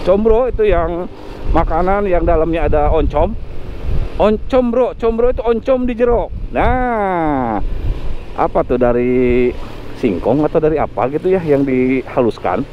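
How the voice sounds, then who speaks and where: A man gives chatty, self-correcting commentary from his motorcycle, guiding viewers along the road. His voice sits high. It comes through a helmet camera mic with a slightly dull tone and faint traffic underneath. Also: lively intonation, unhurried. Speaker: motovlogger. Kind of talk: casual ride commentary. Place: riding a motorcycle on city streets outdoors. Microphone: helmet-mounted action camera mic.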